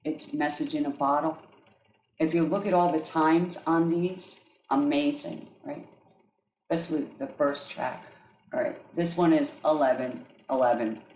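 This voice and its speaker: A woman with an accent, an energy healer speaking gently and often checking in with her listeners as she describes guided healing tracks. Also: deep voice, steady tone, a little slow, a little hiss or hum. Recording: echoey room.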